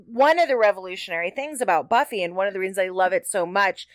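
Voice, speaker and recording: A woman's voice: medium pitch, quick pace, no room sound. A woman talking casually and enthusiastically as a co-host on a fan podcast about television. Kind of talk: casual panel discussion of a TV show.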